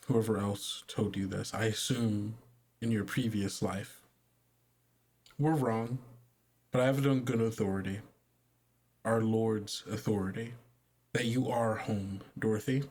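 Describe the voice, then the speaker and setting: A man playing a gentle but insistent female religious authority, speaking formally, haltingly and patiently in a tabletop game. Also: fairly low pitch. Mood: calm, unyielding.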